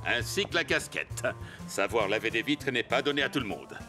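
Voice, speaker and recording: An actor playing a businesslike building owner in a cartoon, exacting but fair, speaking deliberately and formally. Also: male voice, mid-range voice, lively intonation, over loud music, lightly acted.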